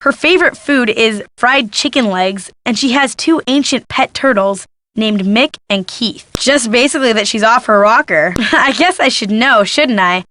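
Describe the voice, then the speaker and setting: A woman's voice: fairly high pitch, normal speed, few pauses, sharp tone, no room sound. A woman playing a bratty, scheming young photojournalist in a video game, with an American accent and slangy, taunting delivery. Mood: chatty, sassy. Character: bratty young female photojournalist, scheming greedy diamond thief. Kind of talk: video game character acting.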